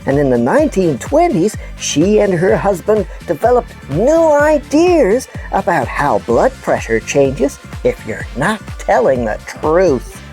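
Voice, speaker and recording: A woman's voice: fairly low pitch, very animated, measured pace, few pauses, warm tone, soft background music. A woman playing an earnest, affectionate puppet news presenter, explaining stories simply in a scripted children's show. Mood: informative. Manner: clear storytelling, explains simply.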